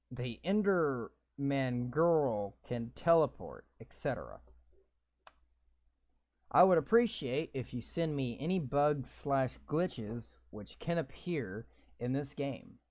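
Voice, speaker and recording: Slow-talking sarcastic young gamer man. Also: mid-range voice, darkish tone, no echo. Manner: sarcastic, swears while commenting. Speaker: gamer playing a visual novel. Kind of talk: reading game text aloud with live reactions.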